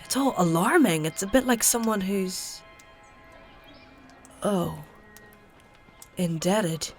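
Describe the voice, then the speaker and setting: An actress playing a clever, defiant healer with a matter-of-fact, insistent manner in a fantasy audio drama. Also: female voice, mid-range voice, expressive, slow pace, soft background music. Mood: brisk, concerned.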